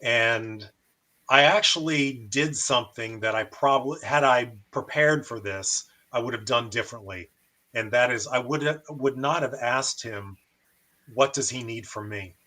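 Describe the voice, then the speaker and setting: American male, mid-range bright voice, casual hobby-radio host and operator. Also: mid-range voice, unhurried. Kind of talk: casual demonstration and radio chat.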